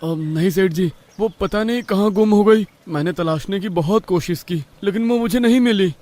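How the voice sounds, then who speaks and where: A humble, worried village herdsman, acted by a man for a horror story. The voice sits high, with a pleading, deferential way of speaking that suits an honest servant. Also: moderate pace, darkish tone, close to an everyday voice. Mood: anxious, pleading. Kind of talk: Hindi horror story character dialogue.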